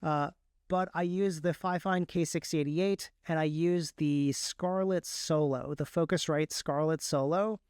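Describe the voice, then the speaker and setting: A man with a smooth, clear voice on the higher side talks shop as a podcast guest. He explains technical things in a casual, enthusiastic ramble. The sound is dry and close. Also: steady tone, unhurried, darkish tone, no echo. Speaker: podcast guest, audiobook narrator.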